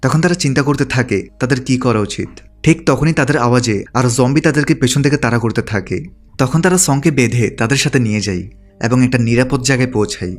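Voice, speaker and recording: A man narrates from a script at a brisk pace. His voice sits at an average pitch and keeps a steady storytelling flow. Music plays behind him. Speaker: movie recap narrator. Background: background music.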